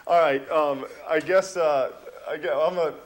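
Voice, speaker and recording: A man's voice: fairly high pitch, fairly even intonation, normal speed. Casual man with hesitant delivery. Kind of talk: casual, unscripted talk to camera.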